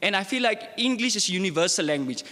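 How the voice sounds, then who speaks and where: A man's voice: high voice, lively intonation, fast talker, sharp tone, in a large hall. A young man speaking earnestly as a student panelist, often saying "like" and growing heated as he makes his points. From South Africa. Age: young adult. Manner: earnest, grows heated, many fillers. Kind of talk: panel discussion.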